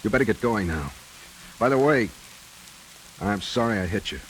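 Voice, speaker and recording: A man's voice: lowish voice, animated, normal speed, lightly acted, rough recording. A male actor playing a hard-boiled, world-weary bank robber with a decent streak, terse and slangy in 1940s radio noir style. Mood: earnest, brooding. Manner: terse, slangy tough-guy talk. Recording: hissy 1940s radio recording, rain behind.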